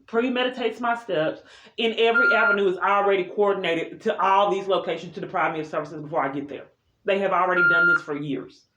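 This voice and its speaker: A woman's voice: lowish voice, reverberant. A woman speaking unscripted in an insistent, aggrieved way, as if setting out a long personal complaint. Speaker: vlogger recording a personal complaint. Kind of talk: unscripted monologue of grievances. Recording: muffled audio with heavy noise and clicks.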